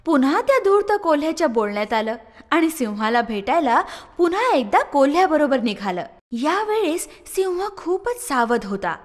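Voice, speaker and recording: A woman narrating a children's moral story in an expressive, sing-song storytelling manner. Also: sits high. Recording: long reverb.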